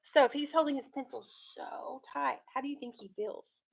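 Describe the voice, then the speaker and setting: Higher-voiced woman teacher reading aloud. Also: normal speed, gravelly, poor audio. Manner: instructive, prompts listeners with leading questions. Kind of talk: read-aloud storytelling with questions to pupils.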